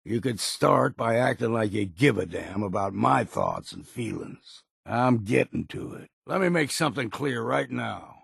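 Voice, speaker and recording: A weathered old hunter speaks with a deeply creaky, raspy voice. He is blunt, proud and weary, a game character with a folksy, plain-spoken drawl. The sound is very dry, with no room around him. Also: animated, measured pace, hardly any pauses, a bit bright, dry recording, exaggerated voice. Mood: stern, resentful. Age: older. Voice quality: gravelly, husky. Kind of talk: video game character dialogue.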